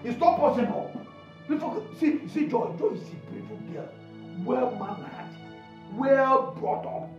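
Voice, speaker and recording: Excitable Nigerian man, comic film friend, exclamatory, wildly varying pitch, echoey muffled sound. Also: measured pace, music in the background. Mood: flustered, placating. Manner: exclamatory, repetitive, stammering. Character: excitable, food-loving male friend, comically fickle peacemaker. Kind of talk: broad comic film acting.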